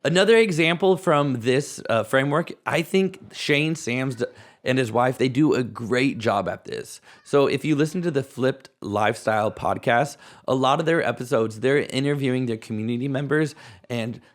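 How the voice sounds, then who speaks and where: A man with a raspy voice, hoarse from a cold, hosting a podcast at home in a casual, rambling way. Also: medium pitch, moderate pace. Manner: casual, rambling, thinks aloud with restarts. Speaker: podcast host. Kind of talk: solo podcast talk.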